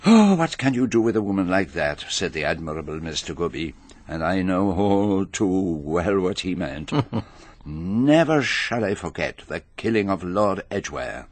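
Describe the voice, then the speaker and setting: An actor playing a fastidious, self-assured detective in a British radio drama, speaking with precise, deliberate, theatrical phrasing. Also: male voice, sits low, sing-song, no echo, full character voice. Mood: musing. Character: fastidious, self-assured male foreign detective, courteous and methodical.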